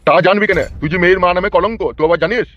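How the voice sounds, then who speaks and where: A man's voice: high voice, rapid-fire, natural voice. A man acts out a blustering, foul-mouthed cartoon father in dull, lo-fi sound. He scolds at breakneck speed. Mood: furious, scornful. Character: irate, foul-mouthed middle-aged village father and husband. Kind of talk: amateur comedy cartoon dub.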